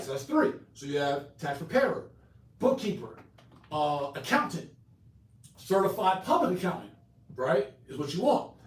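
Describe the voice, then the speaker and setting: A man hosting an online session, talking in an energetic, slangy way with frequent "right?" tags. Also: a little high, reverberant, recorded from a distance, rough recording. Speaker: host. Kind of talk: casual webinar talk.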